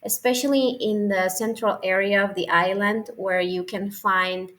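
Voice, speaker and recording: A woman's voice: mid-range voice, steady tone, measured pace, fairly bright tone. Puerto Rican woman with a thick accent. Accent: Puerto Rican Spanish. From Puerto Rico. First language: Spanish. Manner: hesitant, searching for words, frequent fillers. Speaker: guest. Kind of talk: casual interview conversation.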